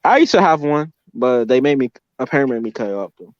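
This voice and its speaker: A man's voice: medium pitch, very animated, fast, no echo. A man from Canada joining a casual stream chat, playful and teasing. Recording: dry, dull lo-fi mic.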